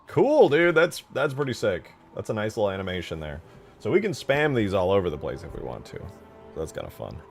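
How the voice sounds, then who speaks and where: A man giving casual, slangy commentary as a game modder, muttering to himself as he thinks out loud while working. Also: on the low side, very animated, brisk, faint music behind.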